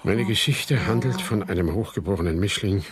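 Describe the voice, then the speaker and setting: A man's voice: fairly low pitch, fairly quick, crowd noise, natural voice. An actor playing a reflective, disgraced heir who narrates his family saga in a radio drama. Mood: reflective, confessional.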